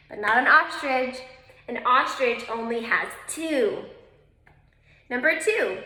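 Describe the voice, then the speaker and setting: Woman, elementary teacher, for a children's read-aloud; unhurried, instructive delivery speaking straight to kids, in an echoey room. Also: high-pitched, pushed and bright. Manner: instructive, addresses young listeners directly. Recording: roomy, echoing indoor sound.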